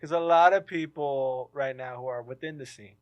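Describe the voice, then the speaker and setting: A man co-hosting a podcast in casual chat, animated, swearing freely and sinking into low, heavy tones. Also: moderate pace, dull audio. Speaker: podcast co-host.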